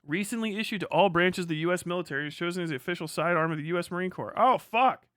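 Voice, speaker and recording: A man's voice: high-pitched, very fast, a bit bright, no room sound, clean studio sound. A man giving casual, profane livestream commentary in an exasperated, ranting manner. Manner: ranting, exasperated, heavy swearing. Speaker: livestream host reacting to videos.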